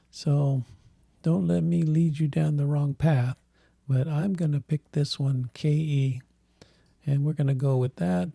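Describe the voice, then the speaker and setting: A man hosting a tech channel, thinking aloud in halting bits while he clicks through software, with a voice that is giving out. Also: on the high side, vocal fry, no room sound, studio quality. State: losing his voice. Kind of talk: casual screen walkthrough.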